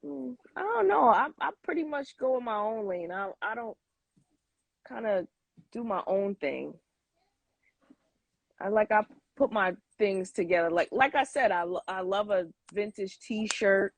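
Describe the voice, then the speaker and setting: A woman's voice: medium pitch, animated, unhurried, gravelly, dry recording. A woman in her forties chatting informally as an interview guest, rambling a little as she thinks aloud. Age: 40s. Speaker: interview guest, sneaker collector. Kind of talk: casual interview chat.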